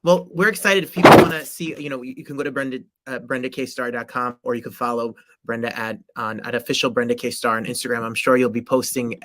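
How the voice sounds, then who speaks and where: A man's voice: medium pitch, steady tone, fast talker, hardly any pauses, fairly bright tone. A middle-aged man hosting an interview, gushing with praise for his guest. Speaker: host. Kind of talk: casual interview chat.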